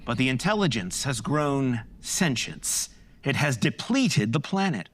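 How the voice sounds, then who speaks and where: An actor playing a pompous, excitable male starship captain in a live sci-fi comedy, speaking in a grandiose, theatrical manner. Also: mid-range voice, measured pace. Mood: grave. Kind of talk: live staged science-fiction audio comedy.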